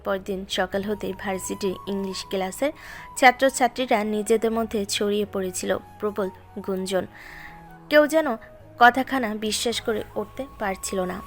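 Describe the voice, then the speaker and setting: A female narrator with a mid-pitched voice tells a scripted story. A music bed runs beneath her delivery. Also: normal speed.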